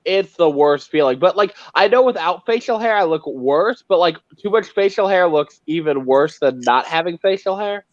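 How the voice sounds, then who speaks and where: Excitable young man, high voice, dull dry mic. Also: normal speed, hardly any pauses, no room sound. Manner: excitable, rambling. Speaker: livestream co-host. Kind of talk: casual chat.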